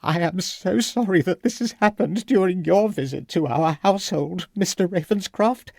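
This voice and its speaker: A man voicing a distressed, polite widow hostess in a period mystery audiobook, raising his voice high. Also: moderate pace, gravelly, darkish tone, no echo, clean studio sound. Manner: shaken, polite. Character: distressed genteel widow, middle-aged hostess.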